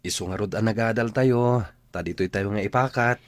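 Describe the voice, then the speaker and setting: An actor with an Ilocano accent playing an earnest, grateful young son in a radio drama. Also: male voice, fairly low pitch, fairly quick, no echo, lightly acted. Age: young adult.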